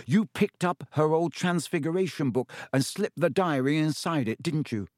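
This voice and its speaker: Man reading as an earnest boy wizard, urgent and confronting, pitched higher than his own voice. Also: normal speed, no room sound, clean studio sound, natural voice. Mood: accusing. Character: determined twelve-year-old boy wizard.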